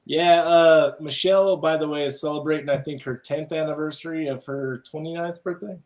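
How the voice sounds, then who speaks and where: A man's voice: on the high side, fairly even intonation, a little fast. A man in his late thirties chatting on a casual group stream with teasing, friendly banter. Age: late 30s.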